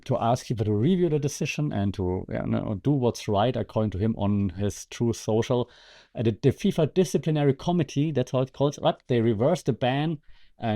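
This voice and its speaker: A man with a relaxed, mellow voice talks through commentary as a podcast host. His delivery is casual and conversational, with wry, sarcastic asides. His pitch sits in an ordinary middle range for a man. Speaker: podcast host and media lecturer.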